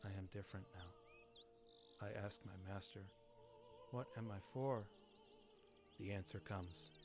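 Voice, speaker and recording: A man voicing an earnest, naive, newly made robot worker from a game story, speaking solemnly and haltingly in short, simple statements. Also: fairly low pitch, faint music behind. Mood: wondering. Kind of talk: dramatic reading of game story text.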